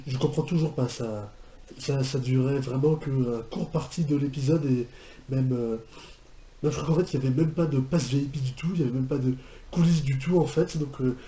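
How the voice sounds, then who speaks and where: A man giving casual reaction commentary as a video host, halting and often restarting his phrases. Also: medium pitch, dark tone, lo-fi sound. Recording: roomy home recording with background noise.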